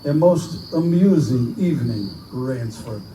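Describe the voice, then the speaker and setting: An actor playing a suave, aristocratic villain who hunts, speaking formally and condescendingly in live pulp-drama style. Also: male voice, mid-range voice, expressive, measured pace, dark tone, slight background noise, lightly acted. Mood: amused, courtly. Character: suave aristocratic hunter general, gloating and courtly villain. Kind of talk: live pulp radio-play acting. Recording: echoey live venue, distant mic, music behind.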